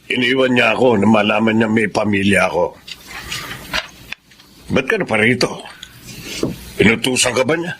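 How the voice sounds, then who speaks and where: Bright, forceful, cold Filipino father a man acting. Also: on the low side, normal speed, long pauses, natural voice. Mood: cold, irritated. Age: middle-aged. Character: cold, dismissive middle-aged father who rejects his daughter. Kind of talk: Filipino radio drama acting. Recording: faint noise behind voice.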